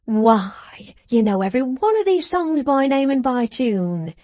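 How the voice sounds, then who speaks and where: A hearty old fellow with rough grammar and a booming, eager delivery, as a woman reads him aloud. He talks slowly and emphatically, his voice pitched up and dry, close to the mic. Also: big pitch swings, darkish tone, dry recording. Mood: jovial. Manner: broad, rough-grammared, enthusiastic. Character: hearty uneducated older man, jovial and boastful.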